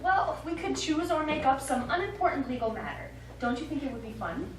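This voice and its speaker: A woman's voice: high voice, expressive, fairly quick, far from the mic, a crowd in the background. A woman playing an arch, sarcastic, playfully scheming wealthy wife in a live stage play. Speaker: stage actor. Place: small theatre studio, recorded from the audience. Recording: echoey stage recording from afar, background noise.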